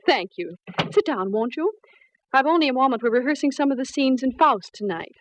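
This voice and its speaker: A woman's voice: high voice, lively intonation, brisk, low-quality recording. An actress playing a gracious, busy woman opera singer in a 1940s radio drama.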